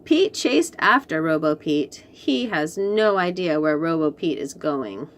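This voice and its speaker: Animated woman reading stories to children. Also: low-pitched, measured pace. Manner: expressive, encouraging, teacherly. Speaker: children's story reader and host. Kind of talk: read-aloud storytelling with chatty asides for young children.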